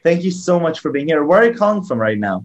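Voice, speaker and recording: A man's voice: a little high, big pitch swings, fast talker, highs cut off. A man hosting a conversational interview, effusive and often doubling back on his phrases. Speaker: interview show host.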